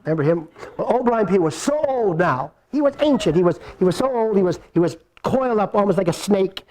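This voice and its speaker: A man's voice: sits high, expressive, fairly quick, a little mellow. A man telling a folk tale to a live audience, playful and addressing listeners directly. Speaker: storyteller. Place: outdoor marketplace performance.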